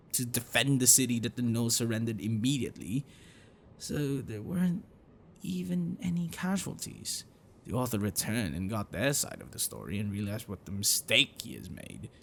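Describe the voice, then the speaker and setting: A man playing a gentle, bookish half-dragon boyfriend in an intimate ASMR roleplay, affectionate and apologetic, speaking haltingly and softly. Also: mid-range voice, vocal fry, slight background noise. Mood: explaining, earnest. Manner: halting, soft chuckles and sighs, dropping to whispers.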